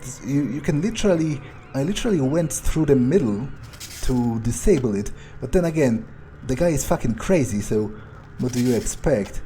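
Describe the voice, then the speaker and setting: A man's voice: mid-range voice, animated, moderate pace, warm tone, slight background noise. A man doing in-character video game commentary, thinking aloud in a hesitant, musing, sardonic way with casual swearing. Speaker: gaming video creator playing in character.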